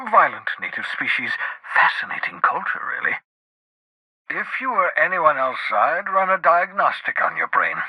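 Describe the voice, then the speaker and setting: Eccentric older renegade scientist, husky, very dry close sound, theatrical, verbose, sardonic, video game character. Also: male voice, medium pitch, pushed and bright, no room sound, exaggerated voice, rough recording. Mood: wry, advising.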